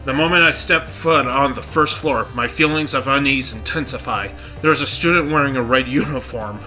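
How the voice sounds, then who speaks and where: A man's voice: medium pitch, normal speed, sharp tone, soft background music, low-quality recording. A congested man reading the uneasy inner monologue of a young female student in a game.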